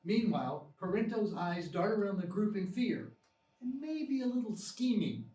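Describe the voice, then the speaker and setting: A man's voice: high-pitched, sing-song, moderate pace, dark tone. A man reading scripted screenplay narration at a live stage table read. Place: small live theatre stage. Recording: distant, echoey live theatre recording with noise.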